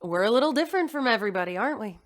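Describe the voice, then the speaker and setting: A woman's voice: on the high side, expressive, rapid-fire, lightly acted. A woman playing a gushing, protective mother in an audio drama. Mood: doting. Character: doting mother of a computerized daughter.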